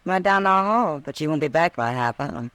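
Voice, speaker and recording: An American actress playing a frank, worldly saloon woman of the Old West in a radio drama, familiar and expressive. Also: low-pitched, moderate pace, no room sound. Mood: curious. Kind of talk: 1950s radio drama acting.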